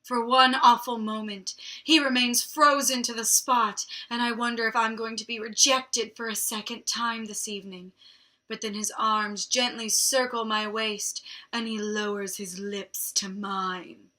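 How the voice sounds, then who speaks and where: Young woman book vlogger, slow unhurried reading, a bit high, snarky side comments. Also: steady tone, sharp tone. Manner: wry, drily mocking asides. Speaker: book reviewer host. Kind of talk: reading aloud with sarcastic commentary.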